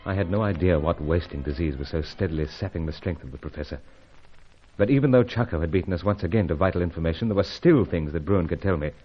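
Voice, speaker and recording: An actor with a British accent playing a suave, steady secret agent in a radio adventure serial, polite, clipped and dryly understated. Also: male voice, low-pitched, fast talker, slightly rough, soft background music. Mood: grave narrating. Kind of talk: old-time radio adventure drama acting. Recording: dull, muffled vintage radio recording.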